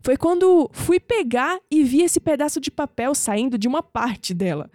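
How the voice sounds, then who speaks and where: A woman playing a young, clumsy, curious granddaughter in an audio drama, expressive, with a Brazilian accent. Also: sits high, normal speed, big-room sound. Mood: excited, curious. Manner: expressive, musing aloud to herself.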